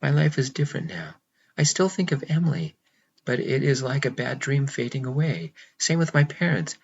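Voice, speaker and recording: A man narrating a first-person romance novel in a measured, intimate way. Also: mid-range voice, big pitch swings, muffled recording, a little hiss or hum. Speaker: audiobook narrator.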